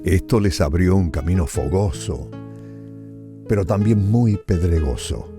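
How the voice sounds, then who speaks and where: A man's voice: sits low, measured pace, over music. A man in his seventies with a northern Argentine accent, narrating family memories as a storyteller with conversational asides. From Salta, northern Argentina. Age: 70s. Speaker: author reading his own stories.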